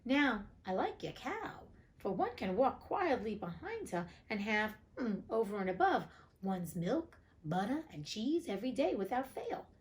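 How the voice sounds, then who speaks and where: A woman's voice: mid-range voice, big pitch swings, measured pace. A woman voicing a naive, easily pleased young fairy-tale man, musing earnestly and with self-satisfaction. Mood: content, eager.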